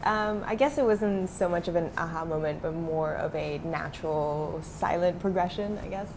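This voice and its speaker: A woman's voice: on the low side, moderate pace, noisy background. A young adult woman who grew up in Switzerland, talking casually as a startup founder in an interview, with many fillers.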